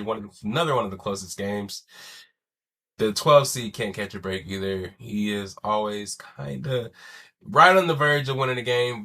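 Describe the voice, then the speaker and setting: A young man with a lowish, bright voice talks sports alone on his podcast in a loose, slangy way, swearing now and then. A chest cold leaves him sounding wheezy and stuffy. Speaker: podcast host. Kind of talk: casual solo sports talk.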